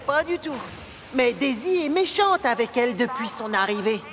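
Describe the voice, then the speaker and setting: A woman's voice: a little high, very animated, normal speed, fairly bright tone. A woman voicing a friendly young male tank engine in a French cartoon dub, explaining things to the coaches.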